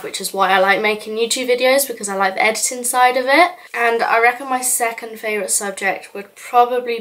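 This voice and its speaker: A young woman from the UK talks through a question list for her vlog. Her delivery is chatty and rambling, full of little fillers and restarts, at a middle pitch. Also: a bit bright. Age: young adult. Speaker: YouTuber. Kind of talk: casual get-to-know-me vlog.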